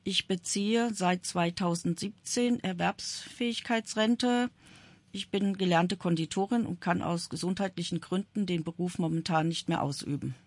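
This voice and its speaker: A woman's voice: fairly low pitch, normal speed, no room sound. A woman in her fifties speaking plainly as a radio interview guest. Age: 50s. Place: radio studio.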